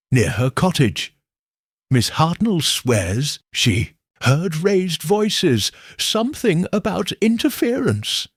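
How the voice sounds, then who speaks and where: A man voicing an excitable young vicar's wife, talking in an eager, breathless, gossipy way. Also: a little high, husky, dry recording, clean studio sound, voice pushed up, exaggerated voice.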